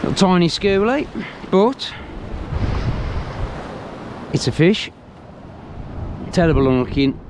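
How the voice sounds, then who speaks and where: A man's voice: sits high, very animated, takes it slowly, pauses often. A man chatting casually to himself and the camera while fishing, in a muttering, self-deprecating way. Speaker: angler vlogger. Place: outdoors on sea cliff rocks. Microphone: action camera worn on the body. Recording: loud wind and surf noise outdoors. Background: sea waves and wind.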